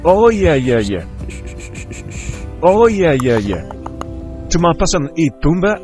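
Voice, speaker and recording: A woman's voice: sits low, big pitch swings, takes it slowly, a little mellow, faint music behind, voice pushed down, full character voice. A woman voicing a bumbling, eager young male cafe waiter.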